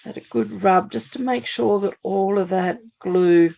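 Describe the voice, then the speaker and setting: A woman from Australia, a little hoarse after laryngitis, giving a chatty step-by-step live card-making demonstration at her craft table. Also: mid-range voice, a little slow, muffled recording. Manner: chatty, steady step-by-step instructions.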